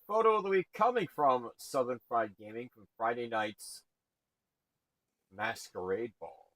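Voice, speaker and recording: A man with a booming voice hosting a live stream, energetic and shouting out viewers. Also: no room sound, low-quality recording. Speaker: stream host.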